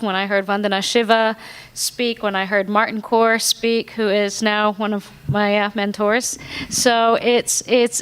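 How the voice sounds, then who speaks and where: Woman, 30s, from Indonesia, fairly high voice, earnest explaining, panel talk. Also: smooth. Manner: earnest, explanatory, frequent fillers. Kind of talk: panel discussion answer. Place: conference hall.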